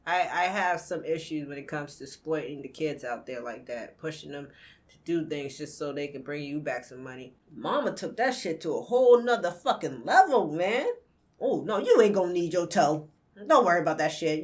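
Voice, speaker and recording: A young woman hosting a reaction video, talking bluntly and swearing freely as she comments. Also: on the low side.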